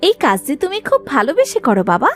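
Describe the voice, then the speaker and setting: A woman as a high-pitched, caring village daughter. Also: huge pitch swings, quick pace, faint music behind. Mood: coaxing. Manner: coaxing, affectionate scolding. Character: caring, gently bossy young daughter of villager. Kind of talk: Bengali fairy-tale cartoon voice acting.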